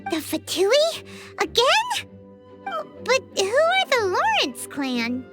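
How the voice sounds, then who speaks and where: Game cast: a tiny, excitable sprite companion with a squeaky, very high, bouncy voice. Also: female voice, takes it slowly, over loud music, exaggerated voice. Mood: curious. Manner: excitable, exclamatory. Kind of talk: game voice acting.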